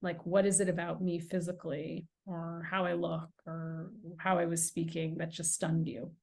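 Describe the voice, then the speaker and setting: A woman's voice: lowish voice, moderate pace, gravelly. A middle-aged American woman, an author and guest on a podcast interview, telling stories in an earnest, emphatic way. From United States. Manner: earnest, emphatic, animated storytelling.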